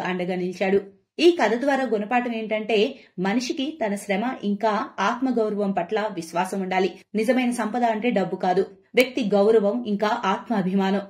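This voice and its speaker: A woman storyteller reads a scripted moral tale. Her pitch sits in a middle range for a woman, and she delivers the story as narration. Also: a little mellow.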